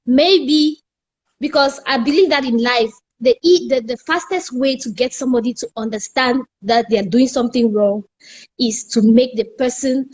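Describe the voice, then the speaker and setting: A woman's voice: high voice, moderate pace, low-quality recording. A Cameroonian woman from the northwest of Cameroon, a guest speaking earnestly over a remote call in casual conversation.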